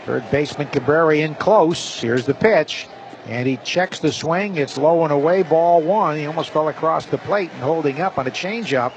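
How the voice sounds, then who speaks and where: A man's voice: fairly high pitch, normal speed, rough recording. A man doing live play-by-play commentary as a baseball announcer. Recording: clear outdoor ballpark noise. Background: outdoor stadium ambience.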